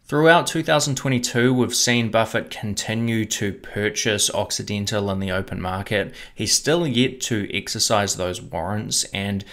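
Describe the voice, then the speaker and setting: Young male host, lowish voice, measured explainer read to camera at home. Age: young adult. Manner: measured, explanatory, steady delivery. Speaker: investing channel host. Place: home room with bookshelf, indoors.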